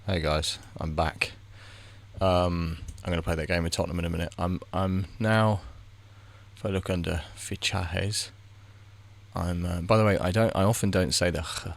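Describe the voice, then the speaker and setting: A man's voice: low voice, gravelly. A man giving casual, rambling commentary while he plays a game, muttering his reactions as he goes. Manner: thinks aloud, muttering reactions to the screen; rambling, with frequent restarts. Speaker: gaming video creator.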